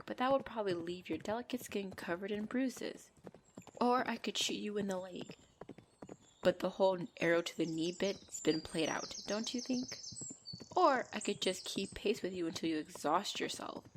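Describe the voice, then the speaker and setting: Woman playing a low-voiced, bossy female centaur. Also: a little hiss or hum. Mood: dry, teasing. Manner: bantering, commanding, dryly exasperated. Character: possessive female centaur, bossy, teasing, half-gentle captor. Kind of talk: ASMR roleplay acting.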